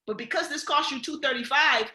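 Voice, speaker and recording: A woman's voice: high-pitched, brisk, pushed and bright, echoey room. A woman with a Bahamian accent leading a team training call, upbeat and coaching, often checking in with "okay?" and "guys.". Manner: upbeat, coaching, repeats key points, frequent "okay?" and "guys".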